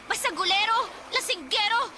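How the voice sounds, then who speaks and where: A woman's voice: very high-pitched, expressive, moderate pace, background noise. A defiant teenage girl. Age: teens. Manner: sharp-tongued, defiant. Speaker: younger sister. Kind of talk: radio drama acting.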